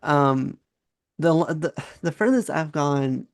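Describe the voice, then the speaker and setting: A man's voice: on the high side, animated, takes it slowly, gravelly, no room sound. Young man hosting, chatty and laughing. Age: 20s. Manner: chatty, laughing, self-deprecating. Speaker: podcast host. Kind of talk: casual interview chat.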